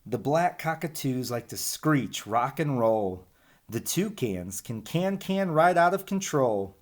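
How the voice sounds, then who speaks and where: A man's voice: mid-range voice, slow. A man teaching young children, reading a picture book expressively and chatting informally with his listeners. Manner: expressive rhyming delivery, chatty asides addressing children. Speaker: elementary school teacher reading aloud.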